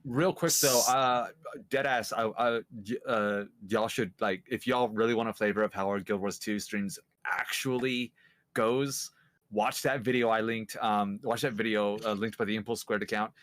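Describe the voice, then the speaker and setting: A man's voice: on the low side, steady tone, moderate pace, fairly bright tone, dry recording. A man co-hosting a casual podcast, talking loosely with lots of fillers and swearing. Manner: loose, profane, trailing off with fillers. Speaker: podcast co-host.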